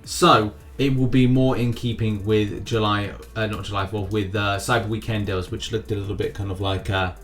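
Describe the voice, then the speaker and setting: A man's voice: on the low side, fairly even intonation, moderate pace, few pauses, a bit bright. Man hosting gaming news over music. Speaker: gaming news video host. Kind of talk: casual commentary on mobile game news. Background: background music.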